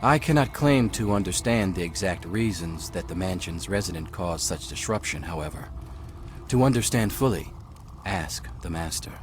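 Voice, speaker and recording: A hushed, devout cult leader, deep. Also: male voice, animated, moderate pace, a little hiss or hum. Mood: serene. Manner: soft-spoken, reverent, formal. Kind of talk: video game dialogue.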